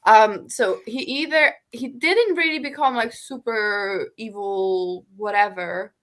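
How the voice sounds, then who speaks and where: A woman's voice: medium pitch, slow, fairly bright tone. A woman co-hosting a casual book discussion over a video call, rambling with lots of "like" fillers. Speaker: book podcast co-host.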